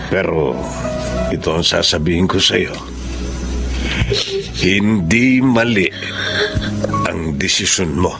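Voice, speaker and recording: An actor playing a calm, understanding father in a Filipino radio drama. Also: sits low, takes it slowly, gravelly, long pauses, exaggerated voice. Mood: consoling, gentle. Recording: music under the voice.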